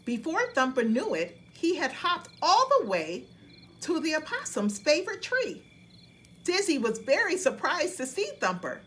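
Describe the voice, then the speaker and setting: A woman narrating a children's bedtime story in an expressive, deliberate storytelling manner. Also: sits high.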